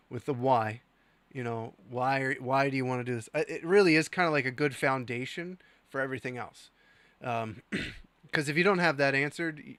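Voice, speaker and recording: A man's voice: medium pitch, fairly bright tone, dry recording. A man co-hosting a casual podcast, earnestly giving advice on streaming with lots of fillers. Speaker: podcast co-host.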